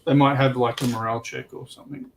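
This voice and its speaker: Creaky man with faint background noise. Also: mid-range voice, flat delivery, fast. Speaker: livestream panelist. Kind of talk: casual chat.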